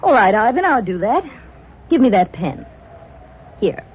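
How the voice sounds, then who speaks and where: An actress playing a scornful, impatient wife in an old radio drama, sharp and contemptuous. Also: female voice, medium pitch, very animated, unhurried, husky, full character voice. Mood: businesslike. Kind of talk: 1940s radio acting. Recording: dull old radio recording.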